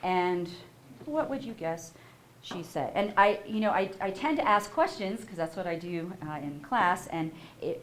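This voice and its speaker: A woman giving a university lecture, animated and asking the audience questions as she goes. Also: on the low side, measured pace, slight background noise. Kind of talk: public lecture. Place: lecture hall.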